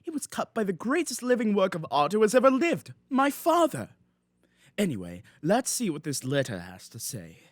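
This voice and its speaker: A snooty young noble for a parody dub, vain and touchy, really theatrical high voice that jumps all over. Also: male voice, wildly swooping pitch, moderate pace, no echo, clean studio sound. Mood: haughty, offended. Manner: haughty, theatrical, formal old-fashioned diction. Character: pompous, vain, easily offended young nobleman, eighteen. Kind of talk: comedic fan-dub voice acting.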